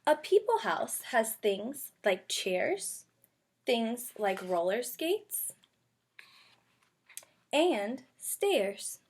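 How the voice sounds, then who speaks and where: A woman with a slightly high voice teaches young children through a read-aloud. Her pace is very unhurried. She speaks in simple, instructive language and talks straight to her young listeners. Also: big pitch swings, darkish tone, slight background noise. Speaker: kindergarten teacher reading aloud. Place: bedroom at home.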